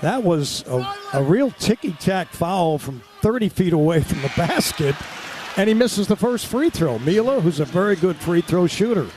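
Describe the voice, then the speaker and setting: A man doing live radio basketball play-by-play, excitable and breaking into shouts on big plays. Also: high-pitched, normal speed, slight background noise. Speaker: play-by-play sports commentator.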